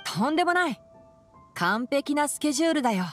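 Bright-minded, lively young male botanist. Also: sits high, fairly quick, music in the background. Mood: agreeable. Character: clever, frank young male forest botanist. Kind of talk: anime video game voice acting.

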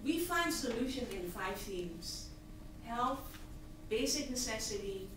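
Woman from Tanzania, 30s, higher voice, earnest founder pitch at a podium; heard from far back in an echoey hall. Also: big pitch swings, slow, noisy background. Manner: earnest, emphatic, gesturing at slides. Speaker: nonprofit founder giving a pitch. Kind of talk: prepared presentation speech. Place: large hall, on stage at a podium. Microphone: podium mic, recorded by a far audience camera.

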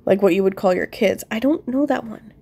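A woman's voice: medium pitch, a little fast, vocal fry, warm tone, breathy edge. A woman with a stuffed-up nose casually thinks aloud over a puzzle game, a little self-deprecating. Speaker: player commenting on own gameplay.

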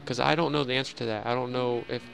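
A man's voice: medium pitch, steady tone, fast talker, gravelly, a bit bright, a little hiss or hum, rough recording. A young man casually narrating a game house tour in voice-over, rambling with fillers and joking at himself. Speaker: Minecraft builder giving a house tour.

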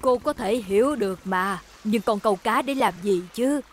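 A woman's voice: medium pitch, normal speed, lightly acted. A woman voicing a kind, caring adult auntie figure in a Vietnamese cartoon dub. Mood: gentle, concerned.

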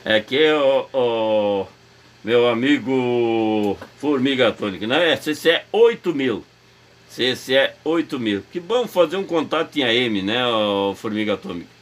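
A man's voice: medium pitch, lively intonation, unhurried. A man heard over AM CB radio, chatting casually in a repetitive, appreciative way. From Brazil. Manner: repetitive, appreciative, calling out callsign and places. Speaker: amateur radio operator on the other end of contact. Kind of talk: casual radio contact chat. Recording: narrow, static-laden CB radio reception.

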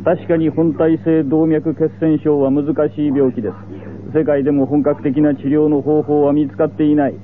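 An actor playing a proud, pompous middle-aged medical association president in a Japanese radio drama. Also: male voice, a little high, level tone, normal speed, lightly acted. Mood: self-assured, formal. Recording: tinny old radio recording.

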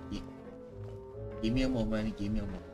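A man's voice: fairly low pitch, brisk. A man giving casual live reactions as he streams a video game. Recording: faint game music behind commentary.

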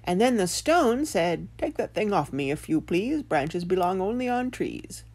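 A woman voicing an excitable, easily spooked male farmer in a children's tale, sounding exasperated. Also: mid-range voice, a little slow. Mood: agitated.

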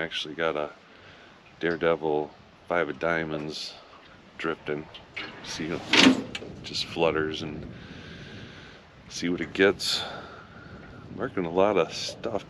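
Low-voiced man vlogging from a boat. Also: background noise. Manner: relaxed, matter-of-fact, upbeat when a fish bites. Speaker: fishing vlogger. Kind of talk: casual vlog narration. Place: small aluminum boat on an open lake, outdoors. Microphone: action camera mounted in the boat.

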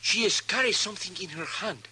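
Bright-voiced Mexican townsman, old radio western. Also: high-pitched, expressive, husky, heavily acted. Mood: eager, confiding. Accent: Mexican Spanish. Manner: halting broken English peppered with Spanish. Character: excitable Mexican townsman, a roguish witness in broken English. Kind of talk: 1950s radio western acting. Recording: noisy humming 1950s radio recording.

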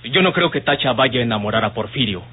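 A man's voice: mid-range voice, animated, fast talker, slightly rough. An actor playing a doubtful, deliberating man in an old radionovela. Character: doubtful man mulling over a possible betrayal. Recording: tinny old radio recording, faint hiss.

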